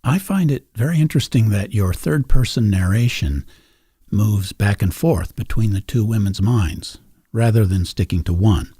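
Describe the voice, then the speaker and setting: A man with a husky voice hosting a literary interview, reflective and unhurried. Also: lowish voice, dark tone, dry recording, clean studio sound. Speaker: podcast host.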